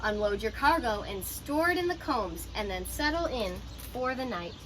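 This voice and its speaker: Female teacher for children's read-aloud: higher-pitched, crisp and bright, instructive and expressive, slight background noise. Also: moderate pace, low-quality recording. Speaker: teacher reading a picture book aloud. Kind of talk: children's storybook read-aloud with explanatory asides.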